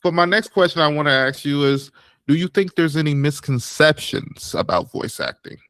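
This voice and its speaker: Man hosting, brisk questions. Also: a little high, fairly bright tone, no echo. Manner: short reactions, brisk questions. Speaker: podcast host. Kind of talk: casual interview questions.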